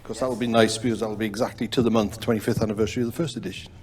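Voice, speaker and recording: A man's voice: fairly low pitch, fairly even intonation, very fast, darkish tone. A man making a casual remark as a participant in a group discussion.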